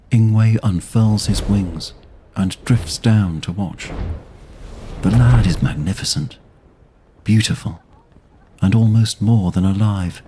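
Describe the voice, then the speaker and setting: A man narrating a scripted fantasy audio drama in a measured, literary storytelling manner. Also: on the low side, warm tone, a little hiss or hum.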